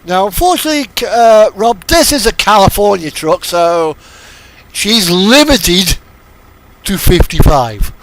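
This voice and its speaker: A man's voice: high-pitched, expressive, unhurried, a bit bright. A man giving casual live commentary as he streams a game, chatting and thinking aloud.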